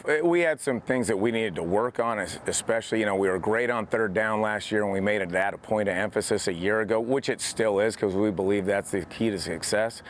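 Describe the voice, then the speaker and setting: Low-voiced American male coach being interviewed. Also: a little hiss or hum. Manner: conversational, peppered with "you know". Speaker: head football coach. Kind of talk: post-practice interview.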